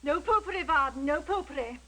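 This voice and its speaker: An actress playing an imperious, nagging wife full of indignant zeal, in melodramatic period radio drama style. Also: female voice, high-pitched, animated, fairly quick, background noise. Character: imperious, zealous, nagging middle-class wife. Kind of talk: theatrical period radio acting.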